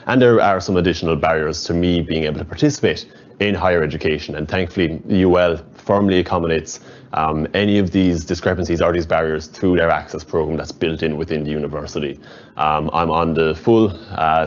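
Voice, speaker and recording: A man's voice: sits low, muffled recording. A young man in his twenties from West Limerick with a rural Irish accent, giving an enthusiastic prepared talk to prospective students. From West Limerick, Ireland. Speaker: student speaker.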